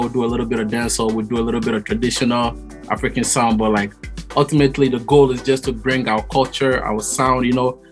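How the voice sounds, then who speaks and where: A male musician born in Togo talks at a middle pitch in a friendly, loose, slangy manner as an interview guest. Faint music runs behind him. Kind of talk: casual interview.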